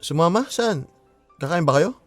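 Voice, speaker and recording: An actor playing a young man in a radio drama who reacts with quick exclamations. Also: a little high, animated, close to an everyday voice. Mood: surprised. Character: young man, stunned and excitable. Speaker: letter sender in a radio drama. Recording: radio drama with clear music underneath.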